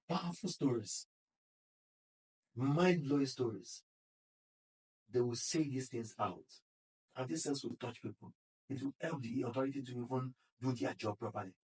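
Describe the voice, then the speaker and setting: A man's voice: medium pitch, a little mellow, distant mic, poor audio. A Nigerian man, founder of a podcast studio, earnestly urging listeners to speak up in a casual interview. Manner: earnest, exhorting.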